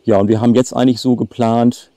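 Male travel vlogger, late 50s, casual delivery, very dark soft-edged tone, dry outdoor sound. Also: mid-range voice, level tone, fast, dry recording. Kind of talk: casual chat to camera. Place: outdoors by a lake.

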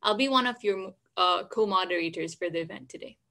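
A woman in her twenties from Nepal, giving a steady, formal welcome as co-moderator over a webcam. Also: fairly low pitch, brisk, vocal fry. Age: 20s. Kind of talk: scripted welcome. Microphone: video call webcam.

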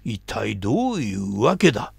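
A man's voice: lowish voice, sing-song, normal speed, gravelly, breathy edge, no room sound, pitched below the performer's own voice, exaggerated voice. A man in his seventies voicing a haughty, mocking male snake who scolds and talks down to others. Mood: indignant. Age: 70s. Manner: scolding, condescending.

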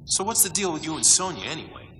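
Smug hollywood actor in a game, wisecracking, sharp bright voice, echoey hall. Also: male voice, on the high side, very animated, fast talker. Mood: cocky. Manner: wisecracking, chatty, flippant. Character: cocky, glib male movie star fighter. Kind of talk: video game comic-relief acting. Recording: echoey hall, faint noise.

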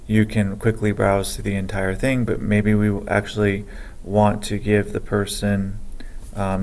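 Low, gravelly man dictating code slowly. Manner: slow, halting, dictates code aloud with many pauses. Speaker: programming teacher. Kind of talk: screen-recorded coding tutorial.

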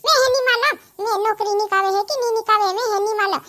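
A woman's voice: extremely high pitch, quick pace, pushed and bright, soft background music. A woman voicing a stubborn, quarrelsome young village woman in a cartoon comedy, arguing repetitively. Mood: indignant, stubborn. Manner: argumentative, repetitive.

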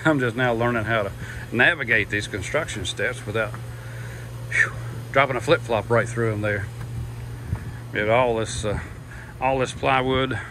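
An older man giving a chatty, folksy walkthrough of his house under construction, filming on his phone and filling pauses with "uh". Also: medium pitch, pushed and bright, a little hiss or hum. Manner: folksy, chatty, with many "uh" fillers and "yeehaw". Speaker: vlogger touring his house build. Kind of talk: casual walkthrough narration. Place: unfinished wooden house frame, indoors and on outdoor steps. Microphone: phone camera held by the speaker.

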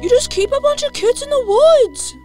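A woman's voice: extremely high pitch, animated, normal speed, darkish tone, faint music behind. A woman voicing a small, indignant, outspoken orphan troll boy in a cartoon. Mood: defiant. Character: squeaky, defiant little orphan troll boy. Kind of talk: cartoon fandub.

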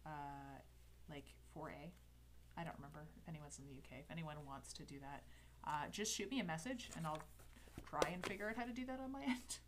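A woman's voice: deep voice, brisk, a little hiss or hum. A woman in her thirties with a Canadian accent, chatting casually while teaching a calligraphy livestream, rambling and correcting herself as she goes. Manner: rambling and self-interrupting, with light laughs. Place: home desk studio indoors. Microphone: headset.

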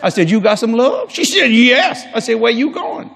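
A man's voice: very high voice, sing-song, normal speed, gravelly, a bit bright. An older man preaching a sermon on a large church stage before a congregation, folksy and jokey, acting out quoted people in different voices.

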